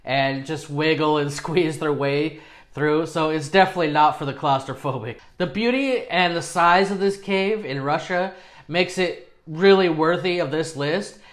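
Higher-pitched man presenting casually to camera. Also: normal speed, fairly bright tone. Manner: self-deprecating, informal. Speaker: presenter of dive videos. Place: small home studio with acoustic foam, indoors.

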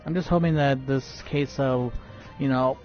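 A man's voice: medium pitch, fairly even intonation, unhurried, faint music behind. A man live streaming while he plays a game, talking casually and stuttering often with many fillers and restarts. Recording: dull lo-fi stream audio.

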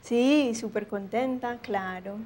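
A woman's voice: fairly high pitch, slow pace, warm tone. A young woman from Texas, a guest on a cooking show, giving short, hesitant answers. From United States, Texas. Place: small home-style studio kitchen.

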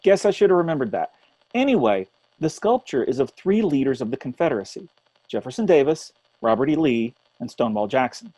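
A man's voice: mid-range voice, big pitch swings, fairly quick, warm tone, dry recording, poor audio. Man acting as earnest radio host, close and dry. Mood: reflective. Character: earnest, thoughtful male radio host after zombie apocalypse. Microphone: close studio mic on boom arm.

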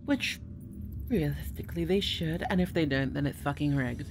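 A woman's voice: low voice, normal speed, noisy background. Woman playing a teasing, bluffing girlfriend. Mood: playful.

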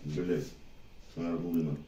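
A man's voice: rumbling low, level tone, slow pace, dark tone. A man in terse military chatter, swearing and asking questions to check what is happening. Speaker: soldier monitoring the drone feed. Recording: echoey, distant mic, faint room noise.

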